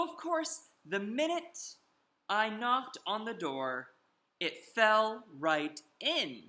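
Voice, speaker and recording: A man's voice: high-pitched, pushed and bright, reverberant, full character voice. A man voicing a sly, self-justifying wolf who tells his side of a children's storybook with emphatic, confiding delivery. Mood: storytelling. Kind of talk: animated children's storybook read-aloud.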